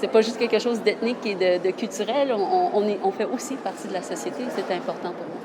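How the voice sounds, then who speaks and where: A woman's voice: mid-range voice, fast talker, noisy background. A woman with a Québécois French accent in her thirties, a journalist talking earnestly in an interview, often restarting her phrases. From Quebec. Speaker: journalist guest. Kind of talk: casual interview talk.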